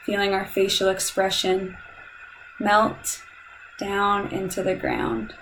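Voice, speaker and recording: A woman leading a guided meditation in a calm, soothing, measured way, leaving long pauses between instructions. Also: medium pitch, a little hiss or hum, rough recording.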